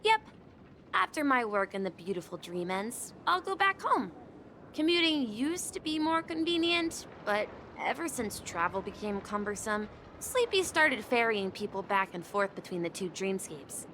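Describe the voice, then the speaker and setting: A woman's voice: medium pitch, very animated, moderate pace, background noise. A woman voicing a polite, eager, innocent young boy bellboy in a video game, earnest and slightly hesitant. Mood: friendly, earnest.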